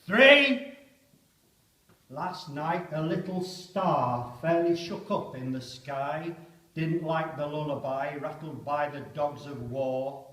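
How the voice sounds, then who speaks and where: A man recites as a British trench soldier, fatalistic and stunned before the attack. The delivery is a dramatic, slow poem declamation with a slightly high pitch, echoing through a church. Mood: tense, defiant. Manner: dramatic declaiming with sudden loud shouted countdown calls. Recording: echoey church, distant microphone.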